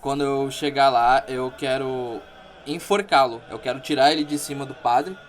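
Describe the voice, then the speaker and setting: A man's voice: medium pitch, moderate pace, soft background music. Brazilian man as a tabletop player. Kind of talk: casually describing his character's actions.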